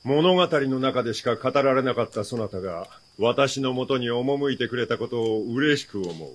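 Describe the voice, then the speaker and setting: A man's voice: mid-range voice, expressive, normal speed, natural voice. An actor playing a smug, all-knowing nobleman villain with formal, archaic, courtly speech in a radio drama. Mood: smooth welcome.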